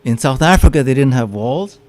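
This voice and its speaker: A man's voice: medium pitch, lively intonation, a little fast, a little mellow. A man giving a public lecture with slides, often stopping to repeat his words as he explains. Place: meeting room with audience.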